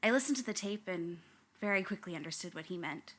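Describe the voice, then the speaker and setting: An actress playing an earnest young documentary narrator, confiding and grave with a wry edge, in scripted first-person storytelling. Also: female voice, fairly low pitch, lively intonation, fast, fairly bright tone.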